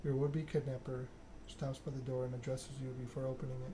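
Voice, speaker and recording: A man narrating a story game aloud in a soft ASMR style. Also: medium pitch, flat delivery, a little fast, over music. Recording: clear background noise.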